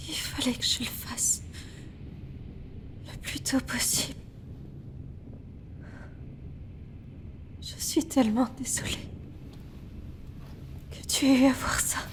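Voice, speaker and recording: A woman's voice: high-pitched, steady tone, unhurried, pauses often, noisy background. A woman voicing a serious, subdued, tomboyish teenage girl in a French game dub. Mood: sorrowful, hushed.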